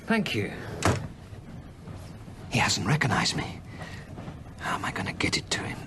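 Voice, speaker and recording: A hunted British gentleman in a radio play, nervous and hesitant. His voice swings widely between speech and hushed, secretive muttering, with clear noise behind him. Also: lowish voice, wildly swooping pitch, unhurried. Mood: tense, furtive. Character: anxious, hunted gentleman steeling himself in secret. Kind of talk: British radio drama acting.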